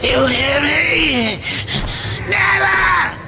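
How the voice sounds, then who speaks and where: A man's voice: very high voice, animated, very slow, gravelly, over loud music, full character voice. An actor voicing a mocking, sadistic male demon jailer in a video game cutscene, taunting and cackling, breaking into shrieking rage. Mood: enraged. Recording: game cutscene with loud score.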